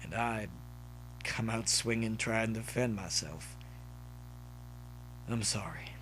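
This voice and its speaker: A man's voice: fairly low pitch, slow pace, noisy background. A man voicing a self-deprecating male farm pony student in an audiobook, hesitant and folksy. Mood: sheepish, apologetic.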